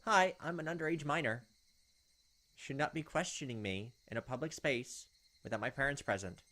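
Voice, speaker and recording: A man's voice: mid-range voice, normal speed, sharp tone. A man hosting a gaming video, reading story text aloud expressively and dropping in casual asides.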